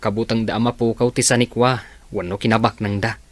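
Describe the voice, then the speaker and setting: A man's voice: lowish voice, level tone, a little fast, lightly acted. An actor playing a troubled, sighing young man pushed into an arranged marriage in a radio drama. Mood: resigned.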